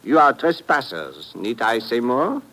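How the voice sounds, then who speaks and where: An actor playing a scheming, oily mine boss in a 1950s radio drama, formal and precise, with cowardice underneath. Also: male voice, medium pitch, very animated, a little slow. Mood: smug. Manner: formal, precise, smug menace. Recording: noisy old radio broadcast recording.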